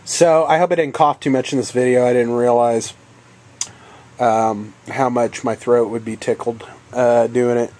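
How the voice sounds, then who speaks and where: Brief: congested man with a head cold, mid-pitched voice, halting casual vlog talk full of fillers. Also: fairly even intonation, darkish tone. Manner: rambling, halting, many ums and uhs. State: coughing now and then. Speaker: channel host. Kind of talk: casual video game haul vlog.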